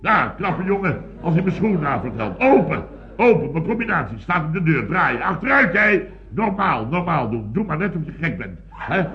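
An actor playing a pompous, excitable boss of a building firm, sputtering and repeating himself in an old radio comedy. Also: male voice, fairly high pitch, normal speed. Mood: panicky. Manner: sputtering, pompous, repeats words, mock-solemn exclamations. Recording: dull vintage radio recording.

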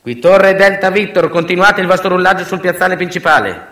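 A man's voice: high-pitched, lively intonation, a little fast, pushed and bright, pitched above the performer's own voice, heavily acted. Echoey, formal airport tower controller acted by a man. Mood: formal, procedural. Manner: clipped, procedural, formal radio phrasing. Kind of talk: theatrical monologue acting. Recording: roomy, echoing sound.

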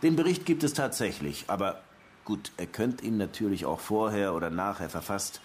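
An actor playing a dogged, lovestruck police detective in a German radio crime drama, wry and slangy. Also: male voice, lowish voice, lively intonation, moderate pace, a little mellow, slight background noise, close to an everyday voice. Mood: brisk, confident.

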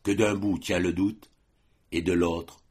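A man reads verse aloud as an audiobook reader, in a solemn, dramatic recitation with measured, declamatory phrasing. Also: low voice, little pitch movement, darkish tone, husky.

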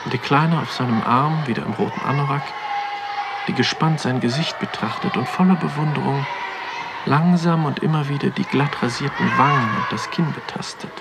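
An actor playing a pensive young man who muses aloud in deliberate, poetic phrases in a radio drama. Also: mid-range voice, vocal fry, over a noisy crowd, very noisy, natural voice. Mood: pensive, observing. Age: young adult. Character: pensive, awestruck young man wandering a museum. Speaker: narrator and protagonist of a radio play. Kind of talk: literary interior monologue, radio drama.

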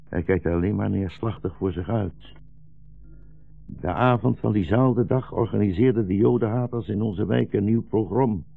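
Deep, gravelly old rabbi. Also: male voice, exaggerated voice. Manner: slow, solemn, storytelling. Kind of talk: 1960s radio drama acting. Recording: dull, roomy 1960s radio recording.

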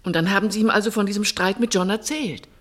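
A woman's voice: medium pitch, fast talker, natural voice. An actress playing an older mother, composed but anxious and probing, with formal phrasing in a German radio play. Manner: formal, questioning.

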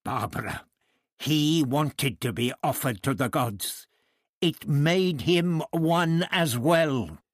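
A man's voice: on the high side, slow, no echo, clean studio sound, voice pushed up. A man voicing an irascible, authoritative older doctor in an audiobook story, with emphatic, old-fashioned phrasing. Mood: angry, scolding. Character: irascible, authoritative older male doctor, gruff but kindly.

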